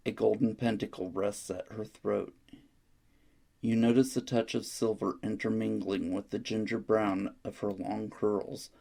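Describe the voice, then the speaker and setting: Man, guided meditation narration, husky and gravelly, mellow tone, calm, measured, very flat intonation. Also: on the low side.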